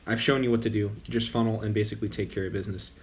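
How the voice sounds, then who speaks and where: A man's voice: lowish voice, very fast, slightly rough. A young man hosting his gaming channel, talking casually over gameplay while losing his voice, often stopping to correct himself. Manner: relaxed, rambling with frequent self-corrections. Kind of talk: casual game commentary.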